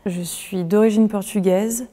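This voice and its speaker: A woman in her twenties talking casually in an interview, hesitant, with many pauses and fillers. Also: mid-range voice, steady tone, warm tone, in a large hall. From France. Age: 20s. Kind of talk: casual conversation.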